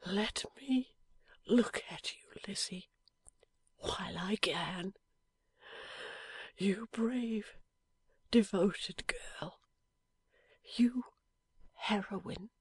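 A woman voicing a badly wounded young husband who speaks in a faint, halting, affectionate whisper in an audiobook. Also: medium pitch, husky, pitched above the performer's own voice, heavily acted. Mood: faint, tender. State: weak from injury. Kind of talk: audiobook character voice.

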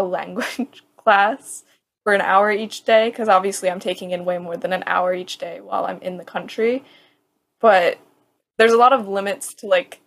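A young American woman chatting casually about studying abroad, with lots of "like" fillers and a laugh now and then. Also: medium pitch. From United States. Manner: chatty, frequent "like" fillers, laughs while talking. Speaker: student. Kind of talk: casual chat.

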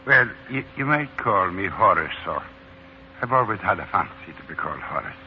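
Sly, deferential Irish leprechaun butler, theatrical and very animated, for vintage radio play on muffled old broadcast. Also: male voice, low-pitched, normal speed, a little hiss or hum. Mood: obsequious, evasive. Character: wily, servile old leprechaun posing as Irish manservant. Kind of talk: 1940s radio acting.

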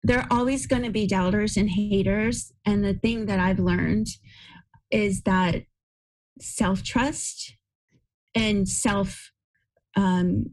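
A woman's voice: medium pitch, steady tone, takes it slowly, pauses often, a little mellow, poor audio. Reflective woman interviewer. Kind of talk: casual interview.

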